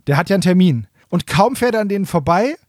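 High-voiced man, jokey and colloquial. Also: animated, fast talker, a little mellow, no echo, clean studio sound. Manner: jokey, colloquial, acts out short mock quotes. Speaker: podcast co-host. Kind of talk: casual humorous chat recapping an audio drama.

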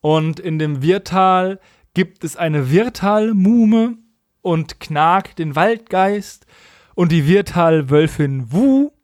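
A man's voice: high-pitched, expressive, slow, darkish tone, no room sound, clean studio sound. A man recapping stories as a podcast co-host in a colloquial, humorous, mockingly opinionated way. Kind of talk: casual humorous episode recap.

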